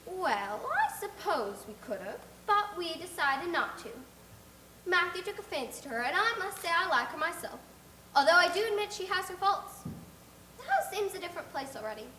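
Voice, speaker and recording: A woman's voice: very high-pitched, very animated, normal speed, sharp tone, echoey room, a little hiss or hum, pitched above the performer's own voice, full character voice, rough recording. A woman voicing a reserved, firm-minded middle-aged spinster who speaks in a dry, restrained way in an audiobook. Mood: measured. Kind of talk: audiobook character reading.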